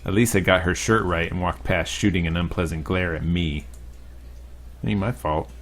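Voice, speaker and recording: A man's voice: sits low, fairly even intonation, normal speed, fairly bright tone. A young man reading a visual novel aloud as a let's play host, with dry, joking asides.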